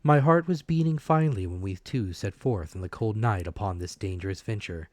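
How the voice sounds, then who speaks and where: A man giving a dramatic, scripted audiobook narration of an adventure story, measured and expressive. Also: fairly low pitch, dry recording.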